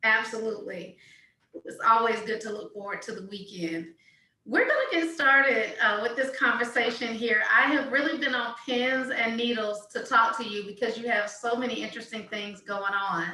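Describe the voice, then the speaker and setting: A woman with a bright, clear, fairly high voice hosts an interview show. She reads the guest introduction and asks questions with enthusiastic emphasis. The voice rings in an echoey room. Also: normal speed. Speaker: podcast host.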